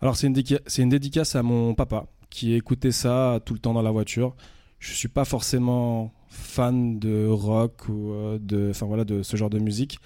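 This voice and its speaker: A man's voice: mid-range voice, level tone, moderate pace, mellow, smooth, no room sound, clean studio sound. A man in his thirties from France, a radio guest chatting casually, hesitant with many fillers and restarts. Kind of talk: casual interview talk.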